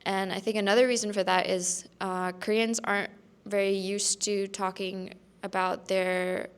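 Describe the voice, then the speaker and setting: A woman's voice: medium pitch, little pitch movement, moderate pace, clean tone. A young woman speaking thoughtfully in a casual discussion on a student panel. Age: young adult.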